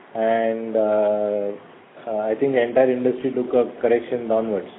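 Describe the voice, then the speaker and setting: A middle-aged man, a company executive answering analysts' questions on an earnings call in a measured, hesitant way with many fillers. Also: medium pitch. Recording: phone line, faint noise.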